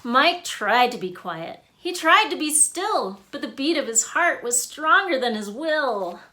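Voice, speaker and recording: A woman reading a rhyming children's picture book aloud in an expressive way, punching out the sound words. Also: sits high, unhurried. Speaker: author and illustrator reading own book.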